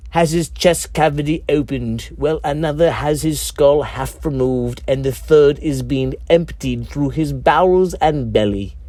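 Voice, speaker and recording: A man reading his own scripted dark comedy story as narrator, deliberate and dramatic with measured pauses. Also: on the high side, dry recording.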